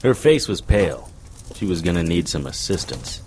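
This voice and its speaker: Noir detective narrator, deep voice, deadpan n wry, audio drama, slight noise in back. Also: male voice, normal speed. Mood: wry, tense. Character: male occult detective, wry, cool, unflappable narrator.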